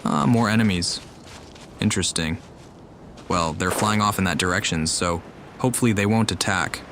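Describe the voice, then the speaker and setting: Male let's player, very low pitch, wry and deadpan, casual, clear background noise. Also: normal speed. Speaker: gamer playing a story quest. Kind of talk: casual let's play commentary.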